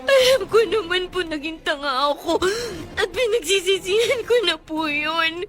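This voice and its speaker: Woman acting a very high-voiced, meek Filipina daughter. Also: lively intonation, moderate pace, a bit bright, soft background music. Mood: tearful pleading. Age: young adult. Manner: pleading, respectful, apologetic. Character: remorseful young pregnant daughter, meek and tearful. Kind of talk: melodramatic Filipino audio drama acting.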